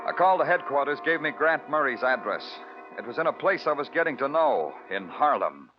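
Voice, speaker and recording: Guy playing world-weary noir detective, terse n sardonic, dull old radio sound, loud music behind. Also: mid-range voice, very animated, fairly quick, lightly acted. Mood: brooding, sardonic. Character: world-weary, hard-boiled male police detective narrator. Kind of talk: 1940s radio noir narration and acting. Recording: dull vintage radio recording. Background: dramatic music.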